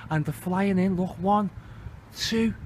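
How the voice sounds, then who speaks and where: A man's voice: high voice, slow pace. A man with a Liverpool accent giving indignant, sweary, exclamatory commentary in a casual vlog he films outdoors. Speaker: video channel host. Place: outdoors under open sky. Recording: very noisy outdoor amateur recording.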